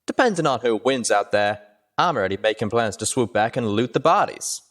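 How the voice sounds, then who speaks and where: A man's voice: mid-range voice, expressive, a little fast, fairly bright tone, pitched above the performer's own voice. A man voicing a cocky, folksy male cowpony pegasus in an audio story. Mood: cocky, eager.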